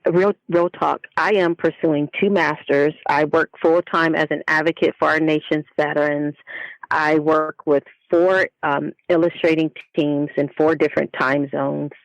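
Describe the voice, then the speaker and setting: A woman's voice: sits low, little pitch movement, a little slow, creaky, no echo, lo-fi sound. A woman speaking as an author guest in a casual interview, listing things in an emphatic way. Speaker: guest author and narrative coach.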